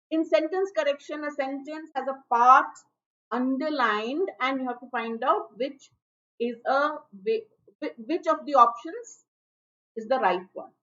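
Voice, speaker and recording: A woman with a high, light voice teaches exam in a chatty way, with fillers and restarts. Her live stream sounds dull and muffled, as if through a weak mic. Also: fairly bright tone. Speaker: English teacher for law exam prep. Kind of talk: online exam prep lesson. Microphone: low-level stream mic. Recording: dull, muffled livestream audio.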